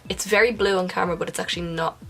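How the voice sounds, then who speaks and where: A young woman, nasal and congested, chatting casually through a makeup tutorial, now and then dropping into a soft whisper. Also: lowish voice, fairly even intonation, racing, gravelly, faint music behind. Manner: chatty, self-correcting, breaks into soft whispered asides. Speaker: makeup vlogger. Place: indoors at home, filming a close-up makeup tutorial.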